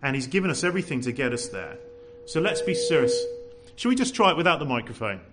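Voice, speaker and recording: A man preaching a sermon to a congregation, animated and full of rhetorical questions. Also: medium pitch, quick pace. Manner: says he has a loud voice.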